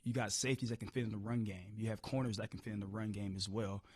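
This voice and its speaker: A hoarse-voiced man coaching football, answering press questions upbeat, often repeating words as he restarts. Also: on the low side, fairly even intonation, quick pace, darkish tone, no room sound, clean studio sound. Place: media room.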